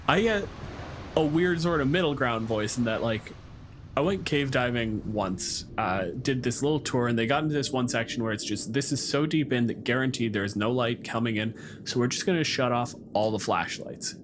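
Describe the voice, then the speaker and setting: A man's voice: mid-range voice, expressive, moderate pace, background noise. A man on a podcast, chatting casually and telling anecdotes with emphasis. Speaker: podcast panelist.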